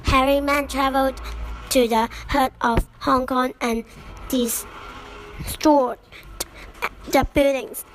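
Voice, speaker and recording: A child narrator reading out a scripted puppet show story in a halting way. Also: high voice, rough recording.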